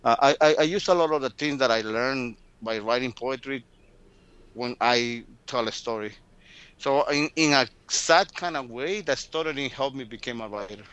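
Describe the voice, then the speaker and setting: A man's voice: mid-range voice, moderate pace, fairly bright tone, clear, resonant tone, highs cut off. A man in his fifties from Guatemala, speaking English with a Spanish accent as an interview guest, sometimes repeating words with a mild stutter. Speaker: guest storyteller. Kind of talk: casual interview talk. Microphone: remote call.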